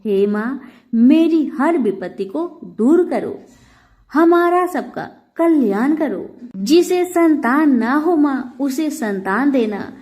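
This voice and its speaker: A woman's voice: sits high, darkish tone. A woman voicing a devout, pleading wife praying reverently to a goddess in a devotional storybook reading.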